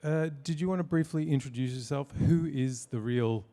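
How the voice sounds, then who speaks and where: A man's voice: medium pitch, moderate pace, warm tone. A man hosting an interview, asking questions in a wry, teasing way with many hesitations. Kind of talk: casual interview talk.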